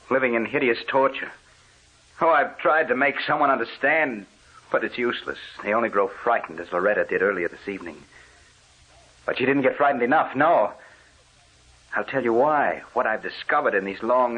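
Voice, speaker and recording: A man's voice: lowish voice, slightly rough. An actor playing a tormented, doom-laden male ghost, brooding and melodramatic, in an old radio horror monologue on a muffled recording.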